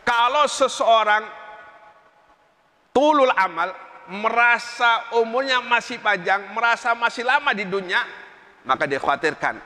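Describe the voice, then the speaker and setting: A man's voice: very high-pitched, lively intonation, measured pace, long pauses, pushed and bright. A man preaching a religious sermon with emphasis, repeating phrases and quoting Arabic sayings. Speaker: Islamic preacher. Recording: long reverb in a hall.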